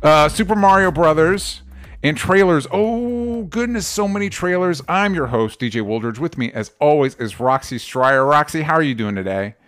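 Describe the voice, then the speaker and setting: A man's voice: a little high, animated, normal speed, fairly bright tone. Male podcast host, casual pop culture chat, wry joking delivery that wanders.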